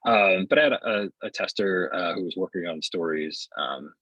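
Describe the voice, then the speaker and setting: A middle-aged man giving a casual webinar demo in a halting, conversational way, on dull video-call audio. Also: deep voice, lively intonation, pushed and bright, breathy edge, no room sound. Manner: halting, conversational, often checks with "right?". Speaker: software developer presenting his tool. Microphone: earbuds on a video call.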